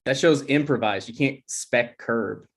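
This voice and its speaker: A man's voice: mid-range voice, unhurried, lightly acted. A touchy, joking boyfriend of twenty-six, played by a man at a table read. He has a mid-range voice and a casual, self-deprecating way of talking. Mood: awkward, defensive. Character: Ryan, sensitive, jokey twenty-six-year-old boyfriend.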